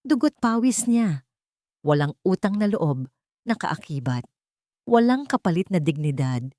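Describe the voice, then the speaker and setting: Filipino lady narrating a drama story, lowish voice, really dry sound. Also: very animated, unhurried, dark tone, no room sound.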